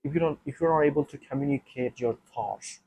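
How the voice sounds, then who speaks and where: Husky man thinking aloud. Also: medium pitch, fairly quick, no echo, phone-quality sound. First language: not English. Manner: thinking aloud with many restarts and repeats. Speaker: language learner. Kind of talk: casual solo talk to camera.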